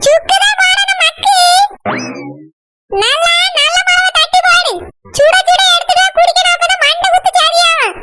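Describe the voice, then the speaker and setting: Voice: very high-pitched, steady tone, normal speed, sharp tone. A performer voicing an irritable older woman with a headache, bossy and scolding.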